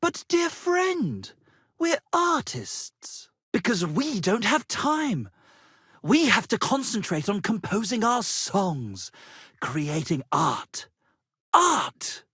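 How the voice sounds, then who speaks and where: A man voicing a pompous, flattering, melodramatic medieval minstrel in a video game, with florid, grandiose delivery. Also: high voice, slow, husky, dry recording, clean studio sound, full character voice. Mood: indignant. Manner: florid, grandiose, declamatory, swinging between rapture and despair. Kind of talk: theatrical video game character acting.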